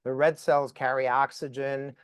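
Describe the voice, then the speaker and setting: A man hosting a podcast interview over video call, raspy from a recent cold, enthusiastic and full of fillers. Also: medium pitch, flat delivery, no room sound, studio quality. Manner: enthusiastic, halting with many fillers. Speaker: podcast host.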